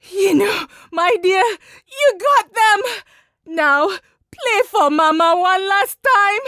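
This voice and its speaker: A towering tree-spirit mother from a video game, shrill and bright, drawing out every scolding word at a slow pace. Her voice has a layered, tree-like effect. The recording is close and dry, with no room around it. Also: very animated, no echo, full character voice. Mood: furious. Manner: overprotective, shrieking, scolding. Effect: layered sound effects making the voice tree-like.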